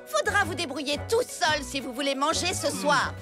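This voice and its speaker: A woman playing a scolding, sarcastic grown-up in a children's cartoon, shrill and exclamatory. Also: animated, moderate pace. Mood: cross, sarcastic. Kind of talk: cartoon dub acting. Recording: music under the voice.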